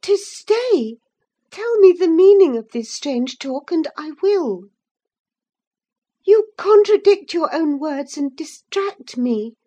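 A woman voicing a proud, quick-tempered young gentlewoman who scolds sharply. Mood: urgent coaxing. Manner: indignant, scolding, high-pitched.

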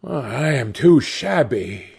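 A male narrator plays a thick-set young lad from a novel. The voice is gravelly and husky, blunt and grumbling, with a stern but good-natured temper. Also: medium pitch, very animated, slow pace, darkish tone, heavily acted. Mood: blunt, muttering.

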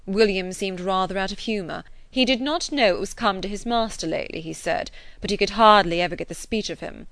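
A woman's voice: mid-range voice, brisk, hardly any pauses, fairly bright tone, dry recording, lightly acted. A woman voicing a fussy young clergyman who complains in an aggrieved, self-pitying tone.

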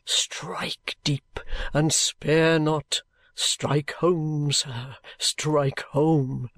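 A reader voicing a pompous, self-admiring elderly gentleman in an audiobook, with florid, grandiose delivery and theatrical airs. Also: mid-range voice, steady tone, extremely slow, dry recording. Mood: aghast, wounded. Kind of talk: audiobook character voice.

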